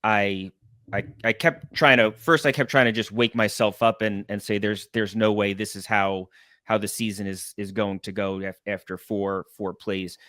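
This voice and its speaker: A man in his thirties talks casually as a sports podcast interviewer. His voice sits a little low, and he moves through sentences haltingly, doubling back on words. The recording is dry and free of room sound. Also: fairly even intonation, fairly bright tone, no room sound. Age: 30s. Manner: halting, often repeats words.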